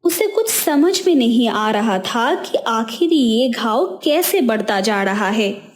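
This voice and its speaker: Slow expressive woman storyteller. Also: on the high side. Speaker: narrator. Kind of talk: storybook narration.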